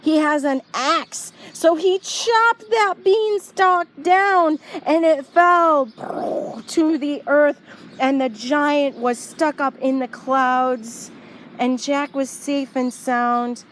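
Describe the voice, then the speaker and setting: A woman storyteller narrating for young children in an expressive, emphatic way, often leaning on repeated words. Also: high-pitched, slow, slight background noise.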